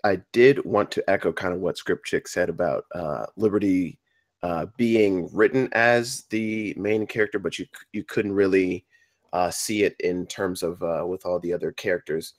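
A man giving informal feedback to a group, halting and hesitant, often repeating his words. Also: low-pitched, dry recording. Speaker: table-read participant giving feedback.

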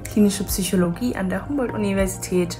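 A woman's voice: mid-range voice, a little fast. A woman of twenty-five, a student introducing herself to camera. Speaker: student and yoga teacher. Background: background music.

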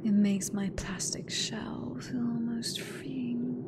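A British woman voicing a hateful sentient AI god in a breathy, deliberate whisper for an ASMR horror roleplay. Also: fairly high pitch, steady tone, noisy background. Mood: bitter longing. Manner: slow, deliberate, menacing, theatrical. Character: hateful sentient female AI god gloating over last human.

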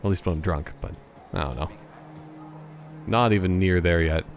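Low-voiced man rambling over gameplay. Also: faint music behind. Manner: rambling, joking, halting. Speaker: gaming commentator. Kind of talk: casual joking gameplay commentary.

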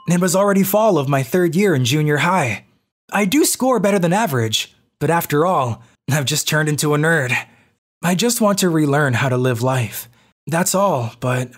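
A woman voicing an anxious, self-pitying, socially awkward boy narrating his own life in an animated manga story. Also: fairly low pitch. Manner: expressive, self-deprecating monologue with outbursts.

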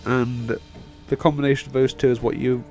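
A man's voice: medium pitch, little pitch movement, brisk, a little mellow. A young man rambling casually over a video game in a self-deprecating, sweary way, his voice tired from long talking. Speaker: video game let's player. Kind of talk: casual rambling gameplay commentary. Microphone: microphone, voice-over on game video. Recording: music playing behind the voice. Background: game end-credits music.

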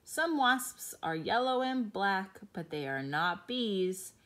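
A woman teacher reading a picture book aloud to young children, explaining each page in a gentle way. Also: mid-range voice, lively intonation, takes it slowly.

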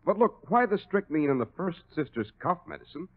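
A male announcer chats in scripted 1940s radio style. His intonation is animated, and the old recording sounds thin and tinny. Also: fairly high pitch, a little fast.

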